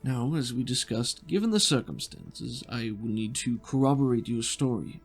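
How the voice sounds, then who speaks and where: Man acting a stern, gravelly, formal interrogator. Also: mid-range voice, faint music behind. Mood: formal, firm. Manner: formal, deliberate wording.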